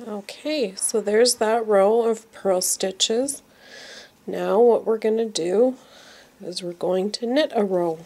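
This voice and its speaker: A woman's voice: medium pitch, slow pace, dark tone. A woman teaching knitting step by step, sounding congested from a cold and repeating each move patiently. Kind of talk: slow step-by-step craft tutorial.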